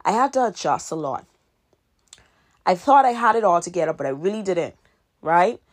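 A woman's voice: fairly low pitch, very animated, moderate pace. A woman in her twenties hosting a podcast, giving earnest advice in a casual monologue and repeating her key points for emphasis. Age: 20s. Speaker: podcast host and author.

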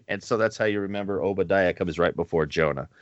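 A man's voice: deep voice, brisk, no room sound. A man in his forties co-hosting a podcast, chatting casually in a rambling way and chuckling between asides. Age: 40s. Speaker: podcast co-host. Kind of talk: casual chat. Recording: slightly muffled podcast recording.

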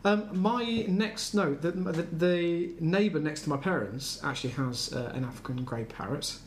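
A man co-hosting a podcast in casual comedic banter, wry and halting in the way he talks. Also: on the high side. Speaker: podcast co-host. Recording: clear background noise.

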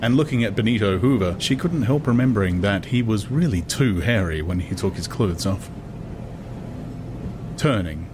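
A man narrating a novel as a dramatized audiobook in a measured, deliberate way. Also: lowish voice, noisy background.

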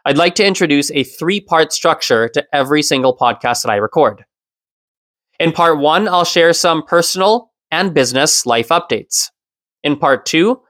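A man in his thirties hosting his own solo podcast, talking in a reflective, explanatory way with the odd soft laugh. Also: fairly high pitch, no echo. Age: 30s. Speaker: podcast host.

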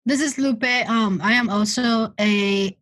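A woman's voice: mid-range voice, measured pace, a bit bright, no echo, studio quality. A woman in her twenties from Mexico, chatting casually on a podcast and speaking hesitantly with ums. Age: 20s. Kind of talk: casual chat.

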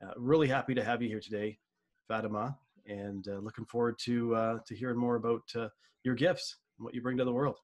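A man's voice: medium pitch, fairly even intonation, a little fast. A man hosting a podcast interview, asking long, rambling questions full of fillers and restarts. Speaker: podcast host.

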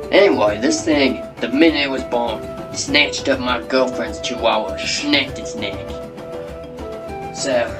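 A man acts out a brash wildlife wrangler on a comedy show. He boasts and rambles in matey slang at a middling pitch, with music clearly behind him. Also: lightly acted. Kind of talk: comedy talk-show guest bit.

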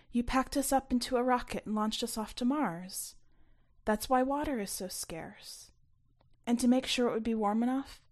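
A woman's voice: a little high, darkish tone, voice pushed up, lightly acted. A woman voicing the guarded, protective young narrator of a story in an earnest, reassuring way.

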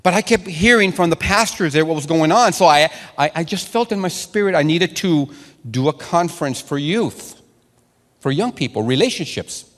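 A man's voice: a little high, expressive, moderate pace, long reverb tail. A man whose first language is Spanish, originally from Mexico, preaching a sermon and telling stories with lots of repeated words and restarts. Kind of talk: sermon to a congregation.

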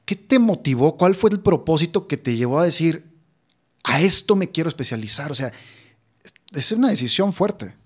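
A man's voice: fairly high pitch, lively intonation, moderate pace, husky. A man hosting an interview, sharing personal reflections earnestly and asking probing questions. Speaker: interview host. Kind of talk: conversational interview.

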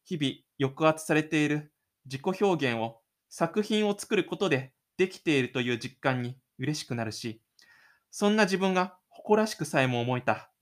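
A man hosting his own audio drama show, narrating and chatting gently. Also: fairly high pitch, expressive, moderate pace. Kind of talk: audio drama narration and casual host chat.

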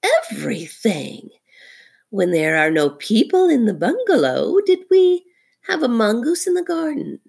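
A woman voicing a commanding, scheming female cobra in a storybook, speaking in a deliberate, conspiratorial way. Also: on the high side, huge pitch swings, vocal fry, a little mellow, husky, voice pushed up, heavily acted. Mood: imperious, plotting.

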